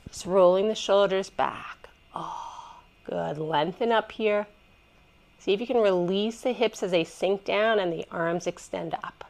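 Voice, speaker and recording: A woman with a head cold guides a gentle yoga flow, her voice stuffy and congested. She gives soft, encouraging cues and lets out small sighs of pleasure as she stretches. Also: mid-range voice. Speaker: yoga instructor. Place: messy living room at home.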